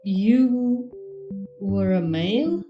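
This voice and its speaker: A man voicing a regal, composed female pony princess with a formal, measured manner in a fan fiction reading. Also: medium pitch, lively intonation, roomy sound, far from the mic, dull audio, faint music behind. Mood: surprised.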